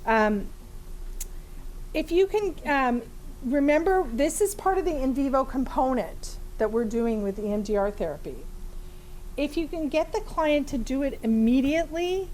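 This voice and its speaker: A woman with a big, bright, high voice runs a live training session for fellow therapists. She talks in a chatty, lively way, full of fillers and easy laughter. The recording is made in a training room, with a faint hum of ambience behind her. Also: rough recording. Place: training room with a small audience.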